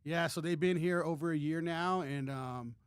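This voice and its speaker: A man's voice: on the high side, moderate pace, smooth, no room sound. A man co-hosting a podcast in casual chat, rambling and joking with many restarts. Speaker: podcast co-host.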